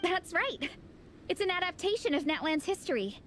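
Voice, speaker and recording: A woman's voice: very high-pitched, very animated, normal speed, fairly bright tone, a little hiss or hum, full character voice. A woman voicing an enthusiastic, friendly young comic-fan club captain in a video game, chatting casually. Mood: earnest, admiring.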